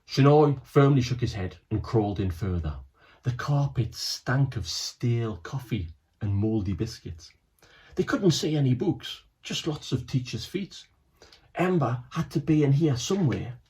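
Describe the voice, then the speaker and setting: A man reading his own children's book aloud, lively and animated, with asides to listeners. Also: medium pitch, measured pace.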